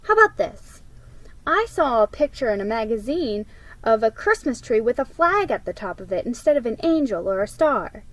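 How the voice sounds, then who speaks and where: A woman's voice: fairly high pitch, big pitch swings, normal speed, rough recording. Woman as a cheerful, kindly storybook mother. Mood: thoughtful, conciliatory. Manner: gentle, cheerful.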